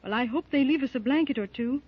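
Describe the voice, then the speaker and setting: An actress playing a frightened but wry young woman held captive in a 1930s radio serial, with a breathy voice. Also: sits high, fast. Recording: dull old radio recording.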